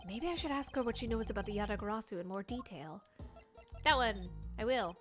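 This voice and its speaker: A proud, formal young prosecutor, voiced by a woman, speaks in a measured, doubting tone. The voice sits high with a lot of movement, with faint music under it. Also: expressive, natural voice. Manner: formal, measured, coolly skeptical. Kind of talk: fan voice acting of a game.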